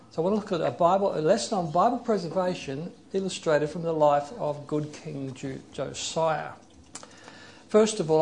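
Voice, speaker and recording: Guy preaching a sermon, earnest and explaining stuff, bit of gravel in his voice, faint hiss behind. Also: a little high, darkish tone. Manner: earnest, explanatory, reads scripture aloud slowly. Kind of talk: sermon with Bible reading and prayer.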